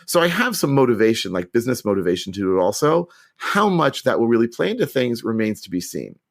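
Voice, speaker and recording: A middle-aged man answering interview questions with chatty enthusiasm and quick restarts. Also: medium pitch, sing-song, vocal fry. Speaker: podcast guest.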